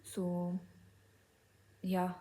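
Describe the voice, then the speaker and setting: A young woman with an Indian English accent, a little hoarse from being unwell, telling a personal story in a candid, reflective vlog and slipping into Hindi mid-sentence. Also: lowish voice, monotone, extremely slow, warm tone. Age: young adult. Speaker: vlogger.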